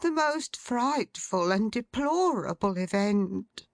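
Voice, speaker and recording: A woman's voice: mid-range voice, lively intonation, slow pace, dry recording, clean studio sound, pitched above the performer's own voice. A woman voicing an agitated, fussy male secretary who blurts out alarming news in breathless exclamations.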